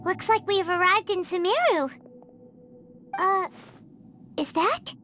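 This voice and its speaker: A woman voicing a tiny, cute, timid fairy companion in a game, squeaky and expressive. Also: slow, faint music behind, heavily acted. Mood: surprised. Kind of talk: scripted game voice acting.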